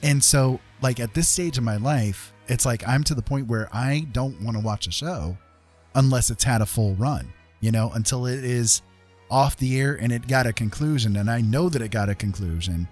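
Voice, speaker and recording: A man's voice: mid-range voice, moderate pace, a little mellow, soft background music. A middle-aged man chatting casually as a livestream host, rambling and emphatic, often repeating his phrases.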